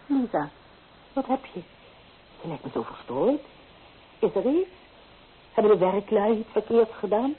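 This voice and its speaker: A woman's voice: mid-range voice, very animated, measured pace, pauses often, narrow, tinny audio. An actress playing a practical, anxious middle-aged mother in a Dutch radio drama, genteel, soothing and admonishing. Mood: concerned. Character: practical, anxious, proper mother of a bride, conventional. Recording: hissy, band-limited old radio recording.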